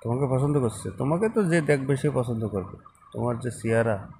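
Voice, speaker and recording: A man's voice: mid-range voice, expressive, a little fast, darkish tone, slight background noise. A young man chats casually on a phone call recording, questioning and insistent. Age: young adult.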